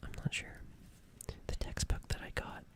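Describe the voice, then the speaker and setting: Whispering, gentle alien medic acted by someone. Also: lightly acted. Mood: gentle, apologetic. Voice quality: breathy whisper. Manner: hesitant, halting, repeats phrases softly. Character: gentle, apologetic alien medic tending a crashed human. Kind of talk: whispered ASMR roleplay.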